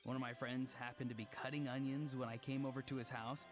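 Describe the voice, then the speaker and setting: A man voicing a calm, deadpan young man in a game, matter-of-fact in delivery. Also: mid-range voice, quick pace, lightly acted. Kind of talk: game voice acting. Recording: game audio with music behind.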